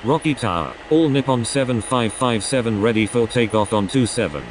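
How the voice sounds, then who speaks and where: A man's voice: medium pitch, moderate pace, a little hiss or hum, natural voice. A man voicing a businesslike airline pilot in a flight game, giving scripted radio calls.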